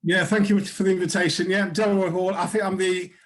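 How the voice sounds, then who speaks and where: A man's voice: sits high, level tone, fairly quick. A man in his sixties, a psychotherapist on a panel, speaking over a video call and often repeating words as he starts a thought. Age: 60s.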